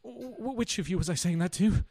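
An actor playing a bumbling, self-dramatizing husband in a radio play, stammering and florid. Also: male voice, sits high, animated, brisk, vocal fry, a little mellow, full character voice. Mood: flustered, bewildered.

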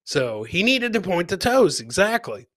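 A man's voice: sits high, big pitch swings, a little slow, fairly bright tone, dry recording, studio quality. A middle-aged man doing casual, jokey live commentary on video clips as a comedian host, often repeating his catchphrases. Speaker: comedian hosting a livestream clip show.

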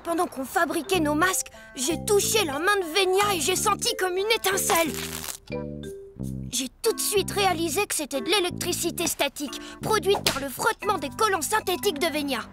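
Very high-voiced earnest little boy. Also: moderate pace, music in the background. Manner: precise, earnest explaining. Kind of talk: French cartoon acting.

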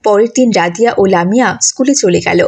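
A woman's voice: mid-range voice, brisk, creaky, darkish tone, breathy edge. A woman reading scripted narration for a children's moral story.

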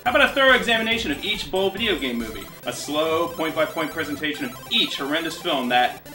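A sardonic man in his thirties delivers scripted critical commentary in a lively, swooping voice. He is emphatic and opinionated, pitched a little high, and moves along at a brisk clip. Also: faint music behind. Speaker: video game movie critic, show host. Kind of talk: scripted video essay commentary. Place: small furnished bedroom, indoors.

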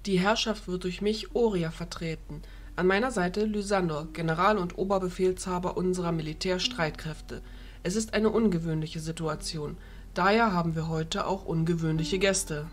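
A woman playing a dignified, diplomatic fairy ruler, speaking in a formal, measured way. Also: fairly low pitch, natural voice. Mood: ceremonial.

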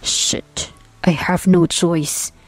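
A woman's voice: fairly low pitch, fairly even intonation, extremely slow, no room sound, low-quality recording. A woman voicing a frustrated, determined man who mutters tersely in an audiobook drama. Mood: frustrated muttering. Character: frustrated, determined young man escaping captivity. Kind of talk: audiobook character dialogue.

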